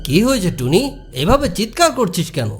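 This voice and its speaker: A woman's voice: medium pitch, very animated, normal speed, music in the background, natural voice. A woman voicing a big, bossy monster in a children's cartoon, scolding and teasing yet protective. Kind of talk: children's cartoon character dialogue.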